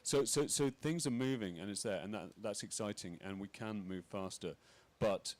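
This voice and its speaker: A man speaking on a conference panel, halting with many fillers and restarts. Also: lowish voice. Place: conference pavilion.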